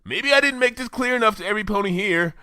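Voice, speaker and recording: A man's voice: high voice, expressive, fast talker, clear, resonant tone, no room sound, clean studio sound, pitched above the performer's own voice. Man playing a brash, cocky tomboy pony mare. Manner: cocky, loud, impatient.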